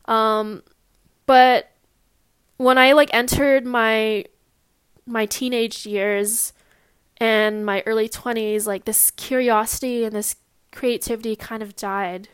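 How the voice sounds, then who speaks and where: A woman's voice: a little high, fairly even intonation, slow pace, long pauses, smooth, dry recording. A woman in her twenties with a Californian American accent, hosting a casual personal podcast in a candid, informal, slightly nervous manner. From Long Beach, California, US. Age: 20s. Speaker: podcast host.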